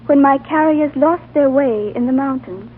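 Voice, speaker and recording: An actress playing a quiet, reserved young woman in a 1930s radio drama, on an old, thin broadcast recording with hum. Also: high-pitched, moderate pace, narrow, tinny audio, close to an everyday voice.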